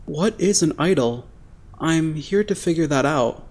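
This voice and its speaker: A woman's voice: deep voice, lively intonation, unhurried, a little mellow. A woman acting an earnest, naive and blunt teenage boy idol in an anime-style dub. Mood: blunt, questioning. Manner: straightforward, earnest.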